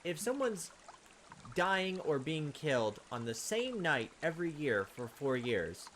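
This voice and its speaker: A man's voice: fairly high pitch, sing-song, measured pace, a bit bright, slight background noise. A man doing a visual novel let's play, reading aloud expressively and adding sarcastic asides. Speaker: let's player.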